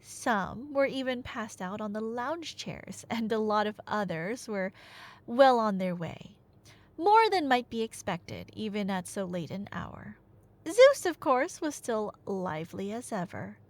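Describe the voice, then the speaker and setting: A woman's voice: mid-range voice, big pitch swings, a little slow. A woman telling a story in a casual, playful roleplay as a nerdy girlfriend, conversational and teasing, with dramatic emphasis, close to the microphone. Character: nerdy girlfriend excitedly retelling Greek myths. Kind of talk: animated casual storytelling roleplay to a listener, ASMR audio.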